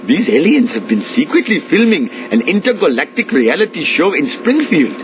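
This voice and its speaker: A man voicing an earnest, excitable Indian shopkeeper in a cartoon game, with an Indian accent and formal, theatrical wording. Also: fairly high pitch, moderate pace, slight background noise. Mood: determined. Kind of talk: animated game cutscene acting.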